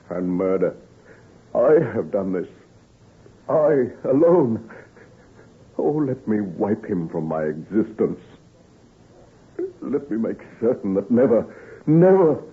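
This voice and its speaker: An actor playing a guilt-ridden, weary gentleman scientist, halting and agonised, in melodramatic old-time radio style. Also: medium pitch. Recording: tinny, band-limited vintage radio recording.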